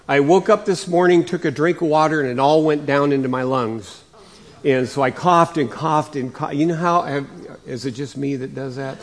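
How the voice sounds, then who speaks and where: A male pastor preaching a sermon in a conversational way, his voice slightly raspy from coughing, rising and getting louder to stress points. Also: on the high side, moderate pace. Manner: conversational, with many fillers and restarts; rises and gets louder for emphasis.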